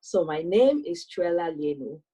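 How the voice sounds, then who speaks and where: A woman's voice: lowish voice, very animated, a little slow, dark tone, slight background noise. A woman, telling her life story as an interview guest over a video call, in a thoughtful way with many fillers. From Cameroon. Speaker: guest, electrical engineer.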